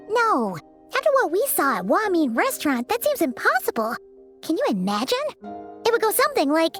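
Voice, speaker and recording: A woman voicing a tiny chatty female companion sprite who babbles excitedly and refers to herself by name, in a game cutscene. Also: extremely high pitch, full character voice. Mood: musing.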